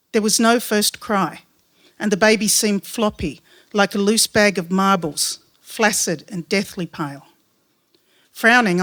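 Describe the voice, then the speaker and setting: A woman with an Australian accent reading her written true story to listeners as a storyteller, in a measured, literary way. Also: mid-range voice, a bit bright, no room sound. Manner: measured, literary, descriptive delivery.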